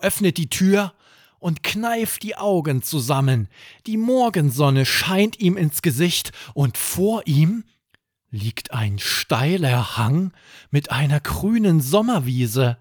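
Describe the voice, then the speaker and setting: Male podcast storyteller for children's bedtime tales; unhurried, very animated, dry recording. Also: a little high, husky, no echo. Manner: expressive, dramatic storytelling. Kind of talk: scripted children's bedtime narration.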